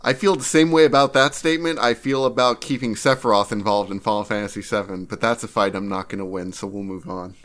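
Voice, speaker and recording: A man's voice: lowish voice, steady tone, fast talker, few pauses, fairly bright tone. A man co-hosting a podcast, chatting casually about video games in a blunt, opinionated way. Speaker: podcast co-host. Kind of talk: casual video game discussion.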